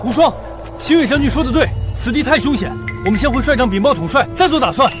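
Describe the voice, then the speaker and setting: An actor playing an anxious, dutiful military officer in a costume war drama. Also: male voice, very high voice, animated, quick pace. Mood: pleading. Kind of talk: period war drama acting. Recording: dramatic music under the dialogue.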